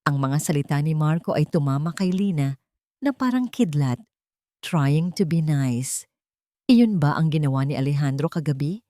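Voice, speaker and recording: A woman's voice: deep voice, animated, fairly quick, dark tone, dry recording, studio quality. A woman narrator reading a scripted, dramatic story.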